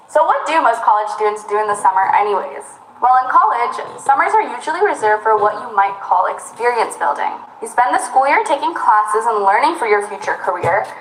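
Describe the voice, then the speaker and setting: A woman's voice: a little high, slight background noise. A young woman presenting a scripted explainer, upbeat and speaking directly to the viewer. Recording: roomy echo.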